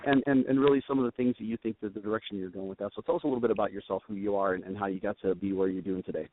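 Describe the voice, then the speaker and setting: A man, a wellness coach, talking on the radio in an enthusiastic, rambling way, with a raspy voice today. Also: on the low side, fairly even intonation, very fast, no room sound. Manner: enthusiastic, rambling, lots of restarts. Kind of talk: casual radio chat. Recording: tinny phone line with faint noise.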